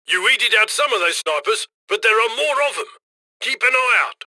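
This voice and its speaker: A man playing a brash, upbeat heist crew handler in a video game, giving brisk, cocky commands. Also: very high voice, lively intonation, sharp tone, dry recording, exaggerated voice. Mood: urgent, commanding. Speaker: mission handler giving instructions over comms.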